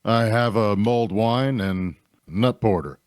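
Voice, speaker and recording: Man playing an easygoing tavern keeper, low dry voice. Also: measured pace, clean tone, no room sound, close to an everyday voice. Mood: friendly. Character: easygoing, practical male tavern keeper, helpful and plainspoken. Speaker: actor in a table read. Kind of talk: fantasy audio drama table read.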